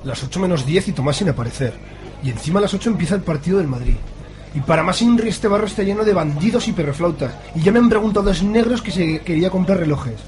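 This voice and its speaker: A man plays an irate, ranting radio-soap character with a high voice. He speaks fast and exclaims sharply, over faint background noise. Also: animated, a little mellow. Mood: grumbling, impatient. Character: irate, bigoted, pious man storming about, outraged. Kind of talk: amateur radio soap opera acting.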